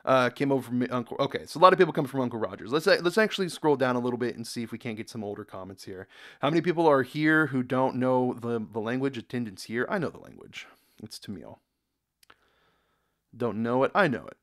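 A man's voice: mid-range voice, fast. A young man from the United States hosting a casual reaction video, rambling, repeating words and reading comments aloud from a dim room.

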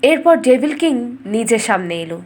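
A woman storyteller giving a scripted, dramatic fairytale narration in measured, expressive phrases. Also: on the high side.

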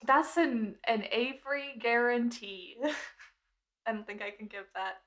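A woman's voice: a little high, slow, a bit bright. A woman bantering casually as a player on a tabletop game podcast, playful and giggly.

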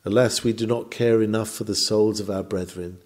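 A man's voice: fairly low pitch, steady tone, fairly quick, a little mellow. A man reading a scripted sermon in an earnest, exhorting, oratorical manner. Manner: earnest, exhorting, rhetorical delivery. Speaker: sermon reader.

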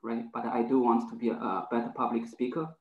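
A man's voice: medium pitch, flat delivery, fast talker, echoey room, dull audio. A man whose first language is not English, hesitantly asking a question over a headset on a video call. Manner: hesitant, many fillers. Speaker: audience member asking a question.

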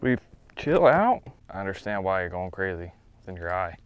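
A man's voice: deep voice, big pitch swings, normal speed, muffled recording, a little hiss or hum. A young man vlogging as he fishes from a kayak on an open lake, chatting casually to himself and the fish and laughing now and then, picked up by an action camera mounted on the boat. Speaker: fishing vlogger. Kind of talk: casual vlog narration.

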